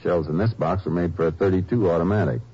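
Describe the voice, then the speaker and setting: An actor playing a steady lawman in a 1950s radio drama, calmly and methodically questioning suspects. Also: low-pitched, fast, breathy edge. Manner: calm, dry, methodical questioning. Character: steady, calm male Texas Ranger investigator. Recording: muffled old radio broadcast with hiss.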